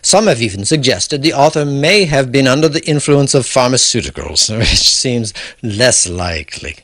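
A man with a husky voice giving scripted storytelling narration to introduce a classic tale, theatrical with deliberate pauses. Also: mid-range voice, sing-song, fairly bright tone. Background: faint music.

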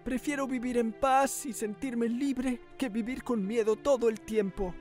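A woman's voice: a little high, unhurried, soft background music, close to an everyday voice. A woman voicing a humble, timid, friendly country mouse in an earnest children's cartoon style. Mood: resolute, earnest. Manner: earnest, expressive. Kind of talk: children's cartoon dub acting.

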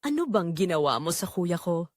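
A woman's voice: lowish voice, big pitch swings, normal speed, no echo, studio quality. A woman voicing a cold, accusing in-law in an audio drama. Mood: cold accusation.

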